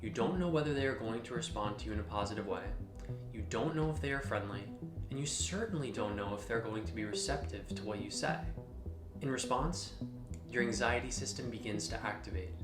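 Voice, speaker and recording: Man narrating an explainer over music, roomy echo. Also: mid-range voice. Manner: measured, instructional, addressing the listener directly. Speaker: host of a mental health explainer channel. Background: background music.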